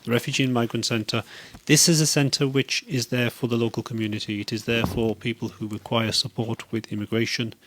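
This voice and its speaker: A man's voice: medium pitch, flat delivery, fairly quick, few pauses, fairly bright tone, slight background noise. A man hosting a community radio interview, upbeat and encouraging, asking the guest questions and praising his answers. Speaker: radio host.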